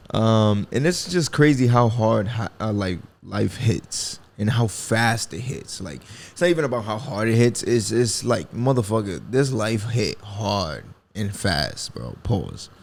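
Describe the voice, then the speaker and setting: Gravelly young man rambling casually. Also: on the low side, expressive, a little slow. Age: 20s. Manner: rambling, reflective, swears freely, many fillers. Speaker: podcast host. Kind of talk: casual solo podcast talk.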